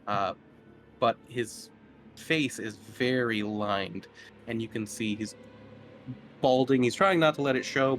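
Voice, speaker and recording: A man running a tabletop horror game as game master, setting the scene in measured narration. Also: medium pitch, fairly bright tone, slight background noise.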